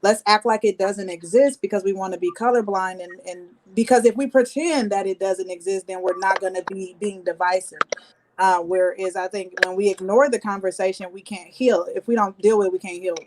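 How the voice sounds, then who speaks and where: A woman's voice: medium pitch, steady tone, brisk, a little mellow, a little hiss or hum, low-quality recording. A woman hosting a casual discussion, talking in a reflective, conversational way. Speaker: host.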